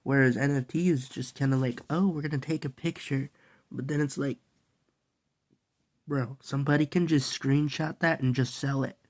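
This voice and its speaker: A man's voice: medium pitch, fairly even intonation, moderate pace, darkish tone. A young man who is sick and coughs now and then, talking in a loose, rambling, slangy vlog monologue full of fillers. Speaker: vlogger.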